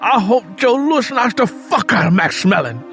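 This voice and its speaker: A man plays an aging male sharecropper in an audio drama, worn down and embittered. His coarse, impassioned voice rises well above a normal male pitch, with music behind. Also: lively intonation, normal speed. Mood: angry.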